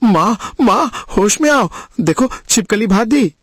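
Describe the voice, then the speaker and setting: A man playing an earnest, expressive young husband and dutiful son in a cartoon story. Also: high-pitched, unhurried, exaggerated voice. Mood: alarmed.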